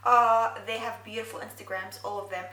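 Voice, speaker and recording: A woman's voice: fairly high pitch, fairly even intonation, a little fast, sharp tone, roomy sound, distant mic. A young woman whose first language is Arabic, chatting thoughtfully through a makeup video from her bedroom, with many fillers. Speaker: beauty vlogger. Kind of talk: get-ready-with-me makeup chat. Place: bedroom, indoors.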